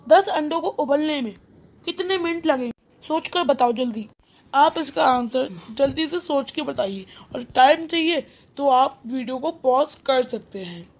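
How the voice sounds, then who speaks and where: A woman's voice: sits high, darkish tone. A woman voicing an interviewer who poses riddles in a children's cartoon quiz. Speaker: quiz host.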